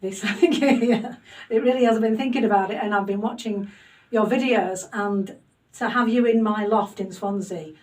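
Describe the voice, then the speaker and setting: A woman's voice: mid-range voice, normal speed, recorded from a distance. A woman hosting a homemade podcast interview in her loft, chatty and self-deprecating with plenty of fillers. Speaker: podcast host. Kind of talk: casual interview chat. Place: home loft.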